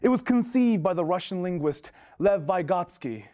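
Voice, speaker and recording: A man's voice: high-pitched, expressive, moderate pace, mellow. A man delivering a scripted recitation as part of a readers theater performance.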